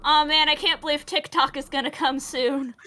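A woman's voice: high voice, steady tone, normal speed, sharp tone. A woman chiming in with casual joking banter during a table read on a group video call.

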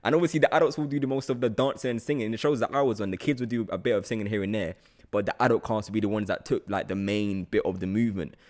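A man's voice: on the low side, steady tone, very fast, a little mellow. A young man with a London accent, chatting casually as the host of an interview podcast, close to the microphone. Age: young adult. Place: small room, indoors. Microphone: podcast microphone on boom arm, close.

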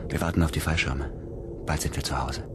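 A man playing a lonely, grieving astronaut in a German radio play, speaking in a halting, intimate way. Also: a bass voice, steady tone, very noisy. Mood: weary, tender. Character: lonely grieving male astronaut, weary and desperate. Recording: music under the voice.

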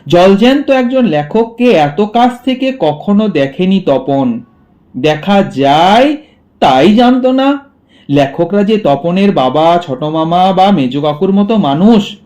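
A man's voice: high-pitched, expressive, unhurried, a little mellow. A man teaching a literature lesson, explaining a story to students by repeating lines and asking questions he then answers. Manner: asks rhetorical questions and answers them.

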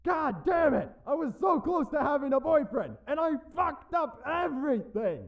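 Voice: high voice, big pitch swings, moderate pace. An actor voicing a frantic, self-loathing teenage boy in a parody anime dub. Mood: anguished. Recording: dull lo-fi sound.

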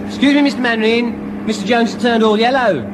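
An actor playing a naive, eager young private in a radio comedy, heard a little off-mic. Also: male voice, very high voice, brisk, very noisy. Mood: earnest.

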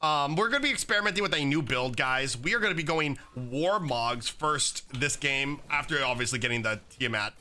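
Slightly raspy young man with a bright voice. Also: mid-range voice, moderate pace, hardly any pauses. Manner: casual, chatty, many fillers like "like" and "um". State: slightly raspy, recovering from illness. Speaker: gaming YouTuber. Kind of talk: gameplay commentary. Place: home gaming setup, indoors.

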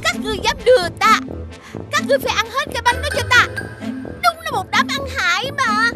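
A woman's voice: very high voice, animated, brisk, music in the background. A woman with a Southern Vietnamese accent voicing a haughty, hot-tempered insect queen villain in a children's cartoon. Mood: furious. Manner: haughty, commanding.

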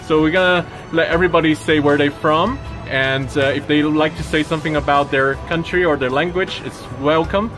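A man's voice: fairly high pitch, steady tone, brisk, few pauses, over music. A man in his thirties filming a casual, enthusiastic review inside an airplane cabin, talking with many fillers into a handheld camera. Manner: enthusiastic, chatty, with frequent fillers. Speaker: travel vlogger. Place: airplane cabin and shower room. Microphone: handheld camera at arm's length.

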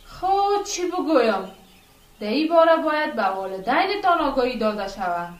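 A woman playing an earnest, gently firm village schoolteacher who explains things didactically in a radio drama. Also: sits high, big pitch swings. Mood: mildly reproachful. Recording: echoey room, distant from mic.

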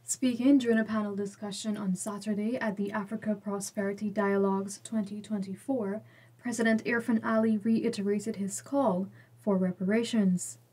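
Even-toned female news narrator. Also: mid-range voice, moderate pace. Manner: clear, even newsreader delivery.

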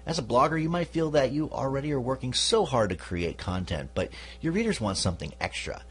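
A man's voice: medium pitch, very animated, fairly quick. A man hosting a podcast, giving an instructional talk casually, with "you know" asides. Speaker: podcast host.

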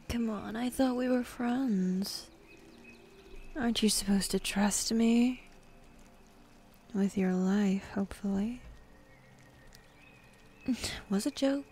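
A bossy, teasing demon woman, played by a young woman in an ASMR roleplay. She speaks slowly and intimately, half-whispering in a dark, breathy voice. Her drawl is sardonic and dominant, as if toying with her plaything. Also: a little high, heavily acted. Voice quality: breathy, husky.

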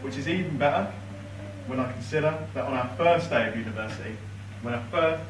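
A young man in his late twenties giving a best man's speech from notes at a wedding reception, with a dry, teasing delivery and pauses for laughter. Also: medium pitch, slight background noise. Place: large reception room with high ceiling, indoors. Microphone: far camera across the room, no mic. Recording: echoey room from far off. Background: guests laughing, room ambience.

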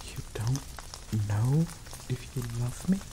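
Man playing obsessive yandere lover, rain behind. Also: mid-range voice. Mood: obsessive, tender. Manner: slow, soft-spoken, dwelling on words with dark chuckles. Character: obsessive possessive male yandere lover, doomed and devoted. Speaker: ASMR roleplay voice actor. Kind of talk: ASMR roleplay. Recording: steady rain noise behind voice. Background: rain falling.

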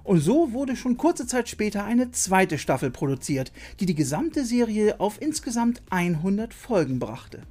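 A man's voice: high-pitched, sing-song, a little slow, hardly any pauses, darkish tone. A middle-aged man presenting a scripted video essay as host of a retro TV channel, recorded on a desk mic in a small room. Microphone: desk microphone. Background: music.

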